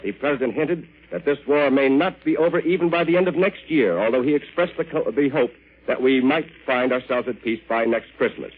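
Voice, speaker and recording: A man with an American accent reading a scripted 1940s radio news bulletin in a formal, measured manner. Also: medium pitch, breathy edge. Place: radio newsroom. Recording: muffled vintage 1940s radio broadcast.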